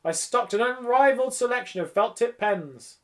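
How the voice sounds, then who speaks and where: A man's voice: extremely high pitch, animated, moderate pace, pitched above the performer's own voice. A man voicing a jovial, effusive shopkeeper in a children's audiobook. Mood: effusive sales patter. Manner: effusive, salesman-like. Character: jovial, effusive male shopkeeper talking up his wares. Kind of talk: children's audiobook character reading.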